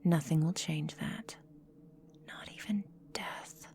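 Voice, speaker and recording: A woman voicing a menacing, possessive vampire mistress in a whispered ASMR roleplay, calm and deliberate, breathy, close to the microphone. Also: low voice, exaggerated voice. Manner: slow, breathy, deliberate, coolly domineering.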